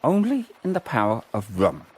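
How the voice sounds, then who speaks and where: A man's voice: mid-range voice, huge pitch swings, moderate pace, background noise. A man acting a cynical, fatalistic First World War private in a radio drama, with a blunt, colloquial manner. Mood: bitter, sardonic. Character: cynical, fatalistic WW1 private soldier in the trenches.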